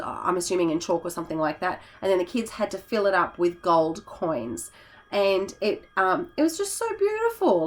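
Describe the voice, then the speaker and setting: A hoarse woman, a teacher chatting casually in a vlog, rambling with fillers and self-corrections. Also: mid-range voice. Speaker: teacher and YouTuber.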